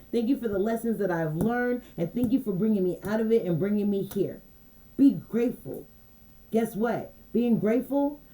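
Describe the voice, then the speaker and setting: Woman, mellow tone, relaxed and chatty, intuitive card reader, repeats her phrases. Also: medium pitch, low-quality recording. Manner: relaxed, conversational, repeats phrases and asks "you dig me?". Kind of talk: casual channeled message livestream.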